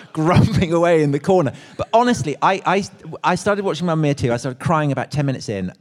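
Animated emphatic Englishman. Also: fairly high pitch, fairly quick. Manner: animated, emphatic, jokingly indignant. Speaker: podcast co-host at a live show. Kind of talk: lively live conversation.

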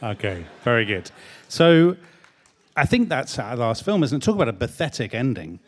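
A man's voice: mid-range voice, big pitch swings, moderate pace. A man co-hosting a live talk show, dry and teasing with his partner. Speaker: podcast co-host at a live show. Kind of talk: live conversation.

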